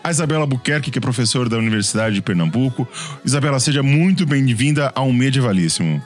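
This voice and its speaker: A man's voice: medium pitch, expressive, quick pace, faint music behind. A man with a São Paulo accent hosting a history podcast in a friendly, chatty way, often restarting his phrases. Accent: São Paulo Portuguese. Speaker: podcast host. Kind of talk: casual podcast chat.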